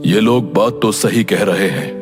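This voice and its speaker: A man voicing a slow-witted but kindly village wrestler with a simple, plain-spoken manner in an animated folk tale. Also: on the low side. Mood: remorseful. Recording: music behind the voice.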